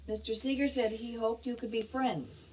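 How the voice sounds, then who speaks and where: A woman's voice: a little high, fairly even intonation, normal speed, recorded from a distance, heavy background noise, natural voice. An actress playing an anxious, conciliatory middle-aged widowed mother in a radio drama. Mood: strained, pleading.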